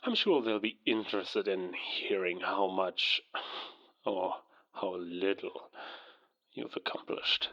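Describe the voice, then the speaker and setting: A man as a low, muffled, condescending spymaster on the phone. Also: takes it slowly. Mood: scornful, pressing. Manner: formal, condescending, pointed. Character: skeptical, stern male West German intelligence agent, a superior. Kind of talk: cinematic audio roleplay acting. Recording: dull, band-limited telephone call.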